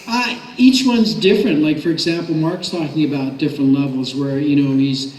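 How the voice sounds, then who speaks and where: A man's voice: on the high side, fairly quick, a crowd in the background. A man speaking as a voice director on a panel, explaining his work in a casual, rambling way. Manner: rambling, loosely structured explanations. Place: convention panel room. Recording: echoey convention room, distant mic.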